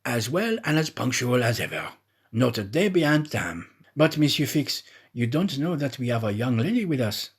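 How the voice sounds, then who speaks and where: A man's voice: medium pitch, big pitch swings, moderate pace, breathy edge. A man voicing a guileless, chatty manservant in a storybook, open and exclamatory. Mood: cheerful, chatty. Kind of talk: acted audiobook dialogue.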